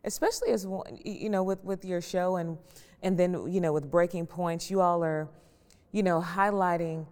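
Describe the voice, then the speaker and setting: Female moderator, markedly low voice, conversational questioning style, captured in a reverberant, hall-like space. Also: fairly even intonation, normal speed, darkish tone. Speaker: discussion host, journalist. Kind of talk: conversational interviewing.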